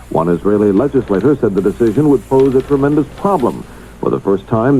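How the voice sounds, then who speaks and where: A man's voice: medium pitch, dark tone, slight background noise, rough recording. A man reading scripted news as a radio anchor on a 1970s broadcast.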